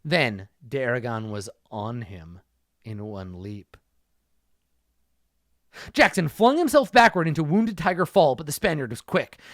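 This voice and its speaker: A man reading an action story aloud, his voice lively and rising and falling with the fight scenes, breaking in with sarcastic little asides. The sound is dry and close to the mic. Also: mid-range voice, no echo. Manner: wry, sarcastic interjections.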